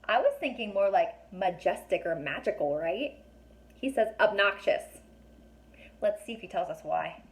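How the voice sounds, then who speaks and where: A woman reading a children's story aloud, pausing to ask young listeners questions and explain things in a chatty way. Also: mid-range voice, animated, low-quality recording. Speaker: teacher or reader reading a children's book aloud.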